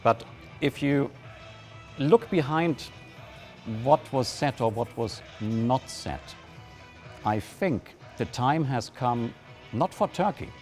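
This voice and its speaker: A man from Germany, giving measured, deliberate political analysis on a live news panel. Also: medium pitch, expressive, a little mellow, soft background music. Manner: measured, deliberate, with emphatic rises.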